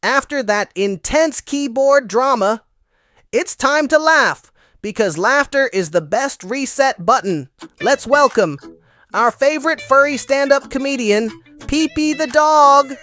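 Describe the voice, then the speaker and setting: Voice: high-pitched, lively intonation, a little slow, a bit bright, no echo, studio quality, soft background music. An actor playing a genial puppet show host, making theatrical scripted introductions. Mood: genial, grand. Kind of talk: scripted comedy presenting.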